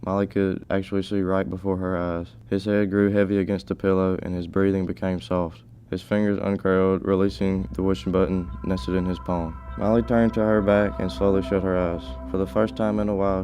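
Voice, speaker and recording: A man's voice: low-pitched, mellow. A teenage male reading scripted story narration.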